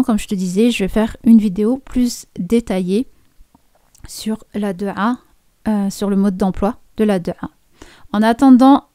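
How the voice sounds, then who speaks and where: Slow, soft-spoken woman coaching viewers. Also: a little high, smooth, no room sound, clean studio sound. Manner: gentle, coaching, addresses viewer directly; slow, deliberate delivery with soft intimate passages. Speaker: hajj preparation coach. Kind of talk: direct-to-camera spiritual guidance.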